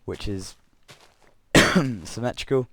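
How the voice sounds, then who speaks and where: Young man, croaky sore voice, lowish pitch, rambling casual gaming chat, close mic. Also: slow, bright, forceful tone. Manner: rambling, self-correcting, chuckling asides. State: croaky voice from illness. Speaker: Minecraft let's player. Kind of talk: casual gameplay commentary and storytelling.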